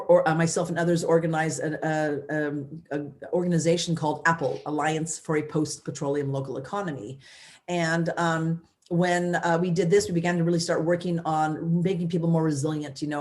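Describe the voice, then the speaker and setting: A woman in her fifties from the United States, a former mayor, telling her story in a loud, energetic way with many restarts. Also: deep voice. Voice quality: loud voice, big personality. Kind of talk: casual interview storytelling.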